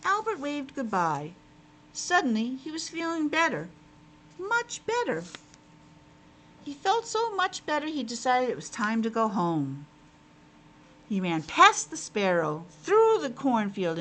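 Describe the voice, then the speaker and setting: Animated, high-voiced woman teacher reading a storybook. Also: unhurried, slight background noise, poor audio. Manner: expressive, child-directed, asking questions. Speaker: teacher reading to young children. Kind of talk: storybook narration with simple explanations.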